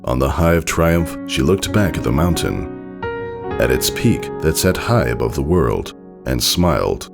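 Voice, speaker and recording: A man's voice: very deep voice, expressive, unhurried, gravelly. A man reading scripted fiction aloud as a dramatic storyteller. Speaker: fan fiction narrator. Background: music.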